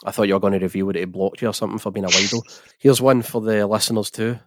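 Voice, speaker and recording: A man's voice: on the low side, steady tone, fast, noisy background. A man with a Scottish accent hosting a podcast, chatting casually about football and putting questions to his guest. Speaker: podcast host. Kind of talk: casual football chat interview.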